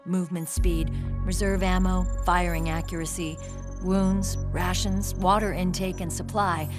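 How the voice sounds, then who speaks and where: A low-voiced young woman , played by an actress, as a military commander in a game cutscene. She is composed and articulate, with serious, measured delivery and a guarded pride. Music plays prominently behind her. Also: close to an everyday voice. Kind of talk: dramatic video game cutscene acting, expository.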